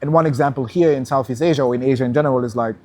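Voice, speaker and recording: A man's voice: mid-range voice, a little mellow, a little hiss or hum. A man from Senegal, a guest in an interview, talking thoughtfully and stopping to restart his sentences. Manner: thoughtful, measured, with hesitant restarts. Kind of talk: conversational interview.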